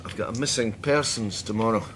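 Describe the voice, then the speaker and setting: An actor playing a weary, guarded psychic detective in a radio drama, Scottish, measured and dryly understated. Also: male voice, fairly low pitch, noisy background, lightly acted. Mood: dry, teasing. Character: weary, guarded male psychic detective, fond of his mother. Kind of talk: radio drama acting, with introspective narration.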